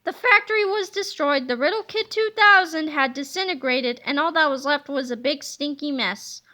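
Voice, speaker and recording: Bright-voiced woman reading a children's book. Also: sits high, normal speed. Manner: expressive storytelling. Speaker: read-aloud narrator.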